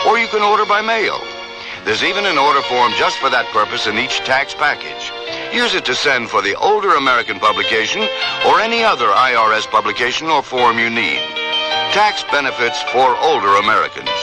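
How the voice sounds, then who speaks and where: A man's voice: medium pitch, low-quality recording. A man delivering a scripted public-service tip as a radio announcer. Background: music.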